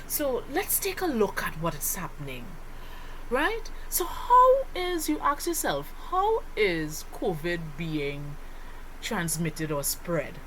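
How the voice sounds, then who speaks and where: A woman's voice: lowish voice, wildly swooping pitch, slow pace, fairly bright tone. A woman with a Trinidadian accent explains like a teacher giving a lesson, often posing rhetorical questions to the listener. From Trinidad and Tobago. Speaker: sociology teacher. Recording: clearly noisy background ambience.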